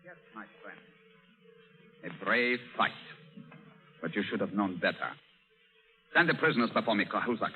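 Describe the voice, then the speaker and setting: Swaggering French pirate captain, old radio sound. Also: male voice, on the low side, big pitch swings, normal speed, long pauses, phone-quality sound. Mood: smug menace. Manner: theatrical, mock-courteous, foreign phrasing. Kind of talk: 1930s-40s radio drama acting. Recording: band-limited old radio broadcast.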